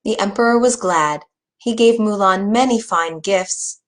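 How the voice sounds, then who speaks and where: A woman storyteller reading a scripted bedtime story for children, at a medium pitch. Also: measured pace.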